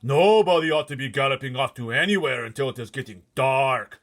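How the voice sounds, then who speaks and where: Guy doing a giant bully monster, booming and roaring loud, voice pushed way up, storybook villain. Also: close to an everyday voice. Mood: bullying, scolding. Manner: shouted, drawn-out taunting delivery. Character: huge menacing bullying man-eating giant.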